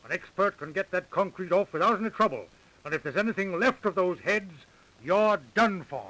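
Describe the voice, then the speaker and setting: An actor playing a shrewd, self-assured police detective in a 1930s radio crime drama. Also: male voice, high-pitched, expressive, a little fast, sharp tone, a little hiss or hum, rough recording. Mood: triumphant. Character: confident, shrewd, persistent male police detective. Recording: vintage 1930s radio recording.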